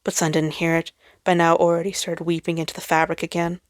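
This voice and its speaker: A woman's voice: lowish voice, level tone, fast, no echo, studio quality. A woman reading a story aloud as dramatic scripted narration. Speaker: fan fiction reader.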